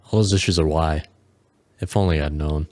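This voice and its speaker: A man's voice: a bass voice, steady tone, moderate pace, a little mellow. A man playing a dejected, down-on-his-luck property owner in an audio drama. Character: dejected, down-on-his-luck man who bought a bad building.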